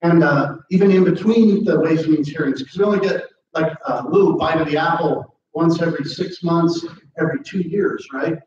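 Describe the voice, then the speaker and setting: A man's voice: a little high, moderate pace, few pauses, noisy background. A middle-aged American man, a state legislator, talking casually to a meeting and rambling with many fillers and restarts. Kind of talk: casual talk with audience questions. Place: large meeting room. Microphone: far camera, no mic. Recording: echoey room, distant and dull.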